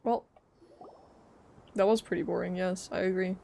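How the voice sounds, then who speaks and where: A woman's voice: fairly low pitch, steady tone, normal speed, gravelly, mellow, a little hiss or hum. A woman chatting casually on a game livestream, joking and talking back to the characters.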